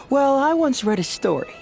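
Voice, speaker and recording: A man's voice: very high-pitched, creaky, full character voice. An actor playing a cheerful young male detective in a fantasy game, chatty, theatrical and courteous. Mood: playful, breezy. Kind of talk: animated game voice acting.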